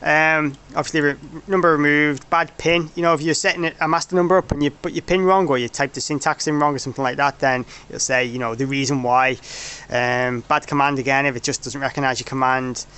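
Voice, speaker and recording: Man presenting a casual product demo, voice a little high, hesitant and self-correcting delivery. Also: steady tone, a little fast, few pauses, bright, forceful tone, clean tone. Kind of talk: informal technical walkthrough.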